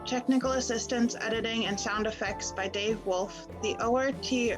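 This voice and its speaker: A woman's voice: a little high, steady tone, moderate pace, over music, close to an everyday voice, poor audio. A woman acting as the narrator of a radio play, reading scripted scene-setting narration. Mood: neutral.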